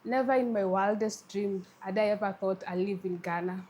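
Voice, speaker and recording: A woman's voice: mid-range voice, normal speed, darkish tone, husky. A woman from Kenya with a Kenyan accent, a tech community lead talking as a guest in a friendly, conversational way, with frequent restarts. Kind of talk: conversational interview.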